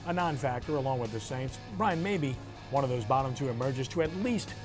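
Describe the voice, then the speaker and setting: A man's voice: mid-range voice, animated, fairly quick, over music. A man hosting a sports talk show, presenting and discussing in a broadcast style. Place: live remote broadcast from a local venue.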